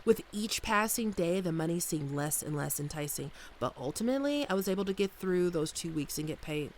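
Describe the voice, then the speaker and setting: A woman's voice: lowish voice, expressive, a little fast, darkish tone, a little hiss or hum, natural voice. A woman playing an affectionate, wry bodyguard wife in an intimate, soothing ASMR roleplay. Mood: wry, uneasy. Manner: soothing, playful, storytelling. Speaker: voice actor in an audio roleplay. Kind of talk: ASMR roleplay, intimate one-sided dialogue.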